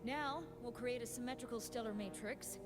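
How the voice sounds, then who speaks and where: A woman voicing a calm, knowledgeable young guide in a video game, explaining things in a composed, businesslike way. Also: a little high, moderate pace, natural voice. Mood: calm, instructive. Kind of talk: scripted game exposition. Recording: game music behind the voice.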